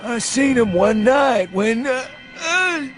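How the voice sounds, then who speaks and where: An actor playing a frightened, rambling old drunkard with a folksy rural New England accent in a radio horror reading. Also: male voice, expressive, slow pace, music in the background, full character voice. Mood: fearful, ominous. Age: older. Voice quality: shrill when agitated. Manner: rambling folksy speech, badgering and insistent.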